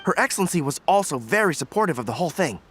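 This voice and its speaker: Young male officer, high-pitched, animated, earnest, polite, game dialogue. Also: racing, a little hiss or hum. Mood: friendly, modest. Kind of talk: video game voice acting.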